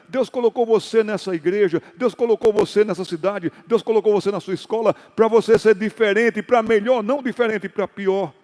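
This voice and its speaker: A male pastor telling stories in a sermon, acting out dialogue and rising into loud exhortations. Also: high voice, long reverb tail. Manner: storytelling with acted dialogue and sudden loud exhortations. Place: church hall.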